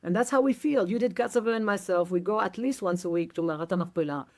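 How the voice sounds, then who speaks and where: A woman's voice: medium pitch, fast. A middle-aged woman from Belgium who talks casually and tells stories with energy, acting out the people she quotes and often restarting her sentences. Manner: animated, acts out quoted dialogue, frequent restarts. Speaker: activist guest. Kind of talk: conversational storytelling.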